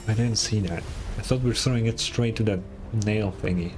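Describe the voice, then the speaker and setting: Deadpan male game streamer over music. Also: lowish voice, fairly quick. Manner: deadpan, skeptical, swears casually. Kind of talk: casual let's play commentary.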